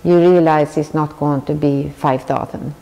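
Older Swedish-accented woman, earnest and halting, low warm tone. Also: steady tone, big-room sound, poor audio. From Sweden. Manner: thoughtful, earnest, halting restarts. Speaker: tapestry artist. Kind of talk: interview answers. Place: large weaving studio with looms.

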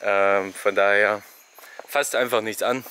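A man's voice: lowish voice, slow pace, slight background noise. Chatty young man in a rainforest. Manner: relaxed, chatty, giving tips. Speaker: travel vlogger. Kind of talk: casual walking vlog commentary. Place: outdoors in a rainforest park. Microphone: selfie camera at arm's length.

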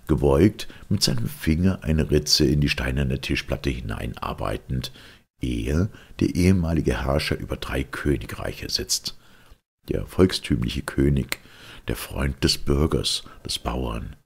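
Very deep, gravelly male storyteller. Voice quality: gravelly, husky. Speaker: audiobook narrator. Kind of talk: dramatic literary story narration.

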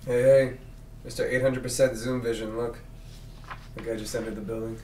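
A man's voice: medium pitch, flat delivery, normal speed, natural voice. A man reading the part of a jaded, sarcastic police detective bluntly at a drama table read. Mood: guarded, bitter. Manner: blunt, sardonic. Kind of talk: script read-through of a sci-fi drama. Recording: roomy, distant, noisy group room.

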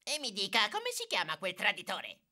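Bright, cocky cyborg cat rival. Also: female voice, mid-range voice, wildly swooping pitch, normal speed, exaggerated voice. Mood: demanding. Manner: self-assured, commanding. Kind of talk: Italian cartoon dub acting.

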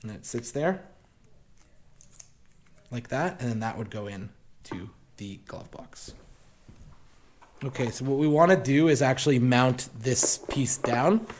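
A young man casually walking viewers through installing a snowmobile accessory in a garage workshop, relaxed and plain-spoken with many fillers. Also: medium pitch, unhurried, long pauses, slight background noise. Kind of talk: casual step-by-step install walkthrough.

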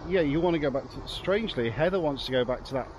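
A man's voice: on the high side, expressive, fast talker, slight background noise, poor audio. Chatty English man filming himself outdoors. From England. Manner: chatty, jokey, rambling with restarts. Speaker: vlogger. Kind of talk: casual travel vlog chat. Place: outdoors in town streets and a garden. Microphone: camera held at arm's length.

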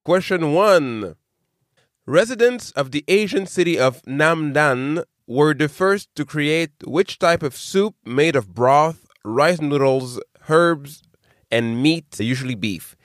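A man hosting a casual trivia podcast, reading quiz questions slowly and deliberately, then chatting loosely between them. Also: a little high, lively intonation, no echo, clean studio sound. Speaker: quizmaster.

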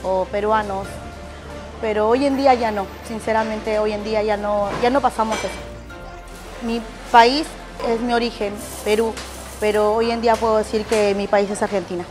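A woman's voice: medium pitch, steady tone, normal speed, music in the background, rough recording. A woman in her forties from Peru who runs a restaurant, speaking plainly and sincerely in a documentary interview. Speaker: restaurant owner. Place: restaurant counter.

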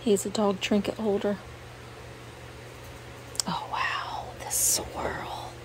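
An American woman giving casual, murmured shop-along commentary in a hushed, gentle voice that often drops to a near-whisper, recorded on a handheld camera microphone. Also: mid-range voice, level tone, drawn-out words, low-quality recording. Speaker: vlogger showing finds while shopping. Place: indoors in a cluttered antique mall. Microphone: handheld camera's built-in microphone. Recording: clear ambient store noise, sensitive camera microphone.

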